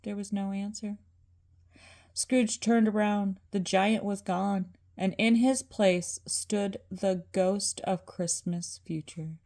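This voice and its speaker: An older woman, a grandmother, reading a children's story aloud in a deliberate narrating style. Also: medium pitch, fairly even intonation, dark tone.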